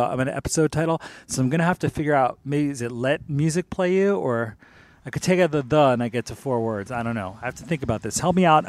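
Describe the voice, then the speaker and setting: A man's voice: a little high, a little mellow, dry recording. A man in his fifties hosting a music radio show, talking casually and loosely as he thinks aloud between tracks. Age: 50s. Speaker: radio DJ host.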